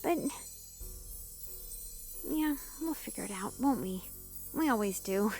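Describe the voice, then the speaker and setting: A woman playing a cheerful, curious teenage girl in a game, bubbly and expressive, with a wistful streak. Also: medium pitch, slow, soft background music.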